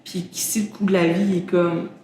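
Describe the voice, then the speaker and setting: A woman's voice: fairly low pitch, flat delivery, normal speed, a little mellow. A young woman with a Québécois French accent hosting a casual interview, chatty, laughing often and restarting her sentences. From Quebec, Canada. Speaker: interview host. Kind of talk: casual conversation. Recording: very roomy echo, faint background hum.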